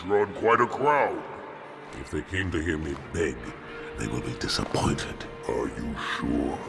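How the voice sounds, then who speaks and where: An actor playing a proud, defiant alien warrior commander in a video game, with a formal, dignified, deliberate delivery. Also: male voice, extremely low pitch, sing-song, creaky, long reverb tail, exaggerated voice. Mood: grim defiance. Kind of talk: dramatic video game cutscene acting. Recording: music behind voice.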